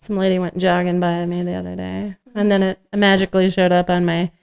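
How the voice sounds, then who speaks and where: A woman's voice: on the low side, completely flat delivery, a little fast, gravelly, darkish tone, clean tone. A woman co-hosting a podcast in casual conversation, given to dry, joking asides. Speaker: podcast co-host.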